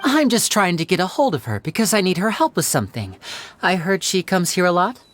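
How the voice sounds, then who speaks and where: Polite young investigator in a game, lively up-and-down voice, faint music. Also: female voice, fairly low pitch, a little hiss or hum. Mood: curious. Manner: courteous, brisk questioning.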